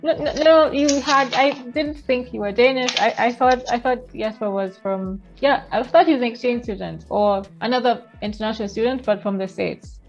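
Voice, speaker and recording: A woman's voice: on the high side, normal speed, hardly any pauses. A young woman from Kenya talks through a headset on a video call, chatty and reminiscing, often restarting her sentences. Her voice sits in a middle range. The sound is a little dull, with faint music underneath. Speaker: vlogger host. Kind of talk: casual video call chat. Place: home room with shelves, indoors.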